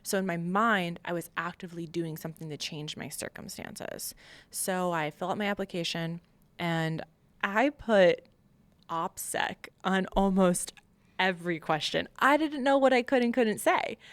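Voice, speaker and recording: A woman's voice: lowish voice, unhurried, dry recording. An American woman giving a candid, emphatic personal account as an interview guest, acting out remembered remarks. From Long Island, New York, USA. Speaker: podcast guest, military veteran.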